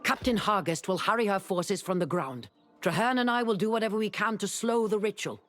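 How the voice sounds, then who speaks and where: A woman's voice: medium pitch, a little fast, fairly bright tone, soft background music, natural voice. A woman playing a wry, commanding sorceress who mentors a young hero in a fantasy video game, speaking formally and with urgency. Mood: grave, resolute. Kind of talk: video game character dialogue.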